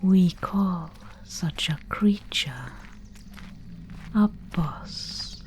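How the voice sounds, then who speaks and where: Slow whispering, mysterious fantasy maiden guide, acted by a woman. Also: fairly low pitch, lively intonation, low-quality recording. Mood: hushed, solemn. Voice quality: breathy, unvoiced whisper. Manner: slow, hushed, solemn storytelling with deliberate pauses. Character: mysterious female Spindlemaid guide in a gloomy dark fantasy realm. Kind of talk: whispered ASMR audio roleplay.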